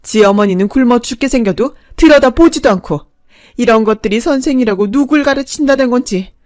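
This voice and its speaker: Woman putting on a shrill, nagging old stepmother-in-law. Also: expressive, normal speed, close to an everyday voice. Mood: wailing. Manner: nagging, wailing, self-pitying. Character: shrill pushy elderly stepmother-in-law, shameless.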